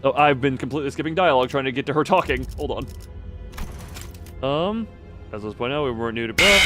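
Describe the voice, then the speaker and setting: A man's voice: medium pitch, fairly bright tone. Male game streamer, mid-range voice, casual and rambling, reads lines aloud, music underneath. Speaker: livestream host playing a game. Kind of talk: casual livestream commentary, reading game dialogue aloud.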